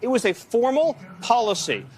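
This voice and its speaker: A man delivers political commentary in an indignant, forceful tone. His voice sits high and swings widely in pitch. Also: wildly swooping pitch, normal speed.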